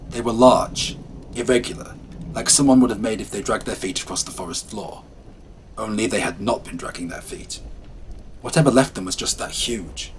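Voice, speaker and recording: A man telling first-person scary stories as scripted narration, hushed and ominous at times, livelier at others. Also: lowish voice, normal speed, slight background noise. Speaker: storyteller. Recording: faint rain ambience behind.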